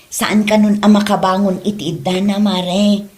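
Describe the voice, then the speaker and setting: A woman's voice: mid-range voice, level tone, normal speed, a little mellow, lightly acted. A woman playing a chatty, nosy married villager in an amateur comedy drama, with a Filipino Ilocano accent. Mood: chatty, gossipy.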